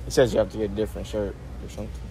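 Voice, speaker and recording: A man's voice: lowish voice, little pitch movement, rapid-fire, over loud music, rough recording. An American man talking casually, posing as a worker in a store. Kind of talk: casual spoken exchange. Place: inside a large store.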